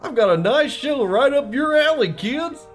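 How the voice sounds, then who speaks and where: A woman's voice: sits high, lively intonation, moderate pace, faint music behind. A woman voicing an overly cheerful, sing-song TV clown entertaining children. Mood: eager, giggly. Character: round, over-jolly, sinister TV clown entertainer.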